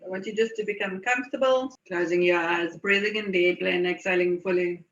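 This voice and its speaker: A woman slowly guiding a meditation in a soothing, unhurried way, with lingering pauses. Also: lowish voice, fairly even intonation, a bit bright. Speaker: guided meditation leader. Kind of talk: slow guided meditation. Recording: dull, echoey room recording.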